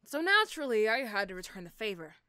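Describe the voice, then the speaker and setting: A woman playing an irritable, sarcastic female supervillain in a roleplay audio drama, snappy and condescending but gruffly caring. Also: fairly high pitch, very animated, fast, sharp tone, no room sound, studio quality, voice pushed down. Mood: dry, guarded.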